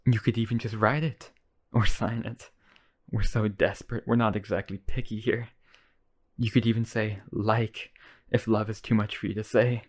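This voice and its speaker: Man voicing a low, obsessive vampire lover. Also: flat delivery, normal speed, close to an everyday voice. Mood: desperate pleading. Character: obsessive, devoted, pleading centuries-old male vampire brother. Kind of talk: boyfriend ASMR roleplay.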